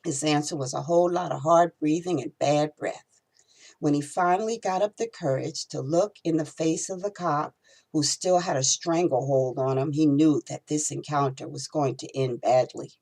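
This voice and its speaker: A woman reading her own novel aloud in expressive storytelling narration. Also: sits low, normal speed, vocal fry, low-quality recording.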